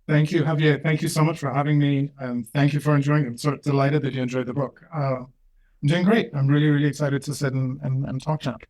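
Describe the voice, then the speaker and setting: A man from Greece, a professor and author, answering interview questions thoughtfully with frequent pauses and restarts. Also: fairly high pitch, fairly even intonation, a little mellow, low-quality recording. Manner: thoughtful, hesitant, many fillers and restarts. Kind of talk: interview conversation.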